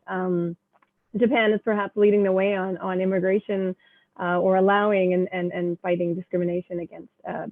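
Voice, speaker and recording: A woman's voice: medium pitch, dry recording. A woman from Canada moderating an online panel, rambling and repeating words as she introduces speakers. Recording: tinny phone-like call audio.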